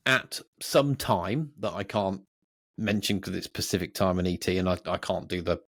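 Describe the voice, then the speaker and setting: A man's voice: deep voice, steady tone, moderate pace, fairly bright tone. A British man co-hosting a podcast in casual, unscripted tech chat, rambling, jokey and easily sidetracked. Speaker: podcast co-host.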